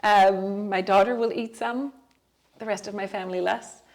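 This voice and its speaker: A middle-aged woman from Canada whose first language is French, talking expressively in a relaxed interview, with frequent laughs and thoughtful restarts. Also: mid-range voice, normal speed. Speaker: guest. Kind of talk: casual conversational interview.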